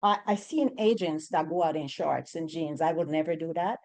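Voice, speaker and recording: A woman's voice: low voice, normal speed, darkish tone. A middle-aged woman from Jamaica with a Jamaican accent, speaking on a real estate panel in an emphatic way, putting rhetorical questions to the audience. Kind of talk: panel discussion.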